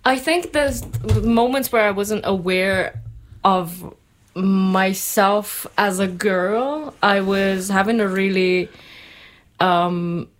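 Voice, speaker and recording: A woman's voice: medium pitch, takes it slowly, a little hiss or hum. A woman chatting casually as a talk show guest, informal and often searching for words. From Iran. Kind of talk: casual conversational chat.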